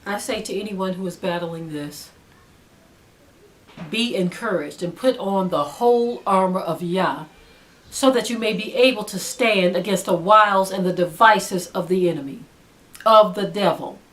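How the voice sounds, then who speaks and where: A woman's voice: mid-range voice, a little slow, fairly bright tone. A woman speaking as a religious teacher in a sermon style, earnest and emphatic, urging listeners with repeated phrases. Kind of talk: sermon-like spoken exhortation.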